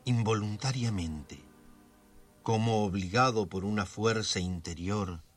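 A man's voice: on the low side, measured pace. An ominous radio serial narrator, taking his time over every phrase. The delivery is theatrical and solemn, built for suspense, with music running underneath. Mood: grave suspense.

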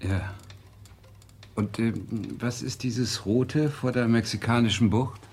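A man's voice: mid-range voice, steady tone, slow, darkish tone, natural voice. An actor playing a curious but cautious male professor in a German radio play, speaking tentatively. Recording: echoey room, some background noise.

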